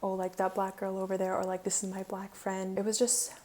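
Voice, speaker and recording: A woman's voice: medium pitch, completely flat delivery, fairly quick, darkish tone, slight background noise. A young woman with a Canadian accent giving an informal personal testimony as a community project participant.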